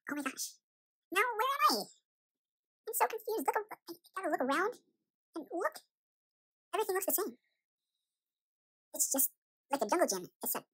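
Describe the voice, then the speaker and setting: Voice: sits high, sharp tone, breathy edge, full character voice, poor audio. A performer voicing a panicky, bewildered young chipmunk boy in a cartoon fan story, rambling and repeating themselves in an anxious, dramatic way.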